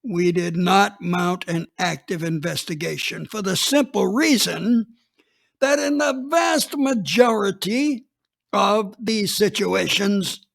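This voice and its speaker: A man's voice: sits high, gravelly. A man reading a dramatized first-person story as a police chief, plainspoken and matter-of-fact.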